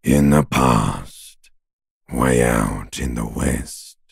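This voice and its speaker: A man voicing a morbid, taunting undead creature in a video game, with a gravelly, raspy growl and a drawling, crude, mockingly intimate manner. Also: extremely low pitch, extremely slow, no room sound, clean studio sound, full character voice. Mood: bleak, taunting. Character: male ancient reptilian brain and talking corpse, taunting, morbid. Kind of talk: video game character voice acting.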